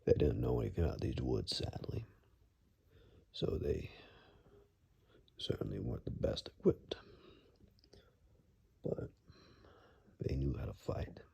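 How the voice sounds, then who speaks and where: A man playing a weary, rugged monster hunter in an ASMR roleplay, grave and halting, in a gravelly, breathy near-whisper. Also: a bass voice, expressive, dark tone, heavily acted. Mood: grave, warning.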